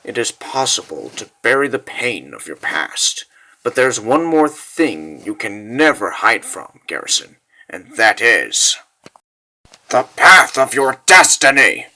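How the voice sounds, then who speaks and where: A man voicing a grave, solemn mentor in a comic dub, speaking slowly and low. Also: big pitch swings, bright, forceful tone, heavily acted, low-quality recording. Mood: stern. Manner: slow, solemn, low-voiced. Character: grave, solemn mentor urging a warrior onward.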